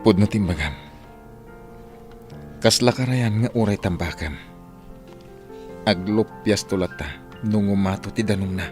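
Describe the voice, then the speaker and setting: Earnest low-voiced Filipino sweetheart , man acting. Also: a little slow, long pauses, over music, lightly acted. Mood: tender, sincere. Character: earnest, sentimental young husband and sweetheart. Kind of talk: Filipino audio drama acting.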